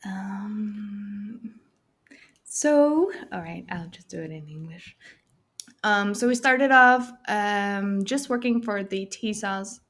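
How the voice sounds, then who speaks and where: A woman from the Netherlands with a Dutch accent, a guest in a casual interview, speaking haltingly with many fillers and little laughs. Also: medium pitch, fairly bright tone. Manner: hesitant, many fillers, laughs often, switches between Spanish and English.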